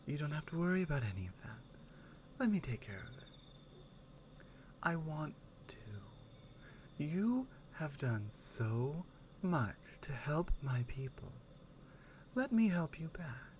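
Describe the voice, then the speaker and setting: Woman playing a soft, slow Nordic warrior woman. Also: low-pitched, sing-song, a little hiss or hum. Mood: tender, reassuring. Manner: soft-spoken, partly whispered, unhurried. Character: strong Nordic warrior woman, protective, teasing, tender companion. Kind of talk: intimate ASMR roleplay.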